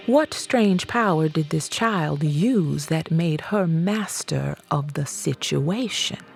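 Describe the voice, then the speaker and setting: A woman narrates an audiobook with slow, deliberate, dramatic phrasing. Her voice sits on the low side with a gravelly creak, and she reads expressively as a storyteller. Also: a little mellow.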